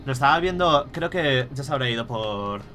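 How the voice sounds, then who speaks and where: A man's voice: mid-range voice, animated, moderate pace, bright, forceful tone. A young man from Spain commentating on his own gameplay at home, swearing freely and muttering to himself as he plays, on a headset mic. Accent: Spain Spanish. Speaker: streamer. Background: game music.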